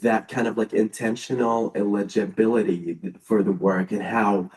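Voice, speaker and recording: A native Arabic speaker in the audience asking a casual question, full of fillers. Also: male voice, on the low side, fairly even intonation, moderate pace, a little mellow. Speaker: audience member. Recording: roomy hall, distant mic.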